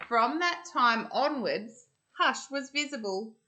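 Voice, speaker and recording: An Australian woman reading a storybook to children, expressive and deliberate in the manner of a school storyteller. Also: fairly high pitch, a little hiss or hum.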